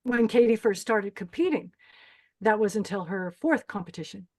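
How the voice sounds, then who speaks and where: A woman's voice: mid-range voice, lively intonation, moderate pace, darkish tone, lightly acted. A woman playing a menacing, deranged pageant host who bosses and threatens contestants in a screenplay table read. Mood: cold, mocking. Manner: bossy, threatening.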